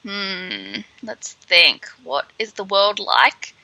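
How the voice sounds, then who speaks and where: A woman co-hosting a podcast, chatting casually through a book with animated, sardonic asides. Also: medium pitch, very slow, dry recording, low-quality recording. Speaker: podcast co-host. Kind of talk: casual book read-along chat.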